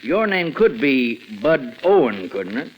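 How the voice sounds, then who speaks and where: A man's voice: medium pitch, sing-song, a little slow. A man with an American accent playing a folksy, persuasive former small-town police chief in a 1940s radio drama. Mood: probing. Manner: folksy, persuasive, hesitant lead-ins. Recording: faintly noisy 1940s radio recording.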